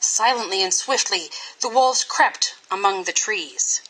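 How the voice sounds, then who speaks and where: A woman reading a children's story aloud in an expressive, scripted style with dramatic, deliberate phrasing. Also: medium pitch, breathy edge, low-quality recording. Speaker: storybook reader.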